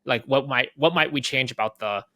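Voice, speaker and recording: A man's voice: medium pitch, brisk, bright, forceful tone, no echo. A man giving casual interview explanations as a guest, thinking aloud and often checking in with "right?". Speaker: head of product, interview guest.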